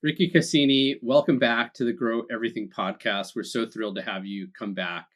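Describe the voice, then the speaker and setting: A man's voice: medium pitch, fairly even intonation, brisk, fairly bright tone, faint music behind. A man co-hosting a podcast, introducing the guest and asking questions in an upbeat way. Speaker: podcast co-host.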